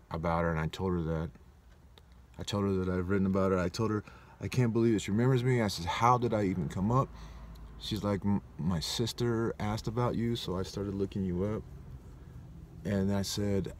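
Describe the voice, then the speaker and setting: Deep-voiced man in his forties musing. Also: darkish tone, slight background noise. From Southern California. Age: 40s. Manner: rambling, self-correcting, reflective. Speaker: vlogger. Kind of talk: casual personal storytelling.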